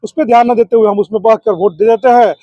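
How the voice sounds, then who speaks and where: High-pitched man, oratorical. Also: fairly even intonation, fast talker, no echo, lo-fi sound. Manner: oratorical, repeats phrases in lists. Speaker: political activist, district committee member. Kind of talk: political interview answers.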